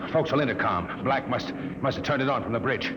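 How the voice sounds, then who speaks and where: An actor playing a tough, blunt spaceman, gruff and terse, in a noisy, muffled 1950s radio drama. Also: mid-range voice, fairly quick, lightly acted. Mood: anxious.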